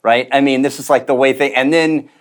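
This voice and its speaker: A man hosting a casual interview show, in a middle-pitched voice. He asks questions in a rambling way and drops quick, playful quips. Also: fairly even intonation, clean tone. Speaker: show host.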